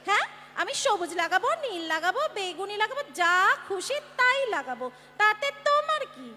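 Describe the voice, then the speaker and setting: A woman's voice: very high-pitched, big pitch swings, fairly quick, bright, forceful tone, heavily acted. A woman playing a brash young job-seeking maid who is cheeky, bossy and impatient in a dramatised play reading. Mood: defiant.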